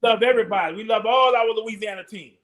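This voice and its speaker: A man with a strikingly high voice leads a loose sports chat in a playful, friendly way. He talks easily and kindly to his co-hosts. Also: fast, a bit bright. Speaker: podcast host.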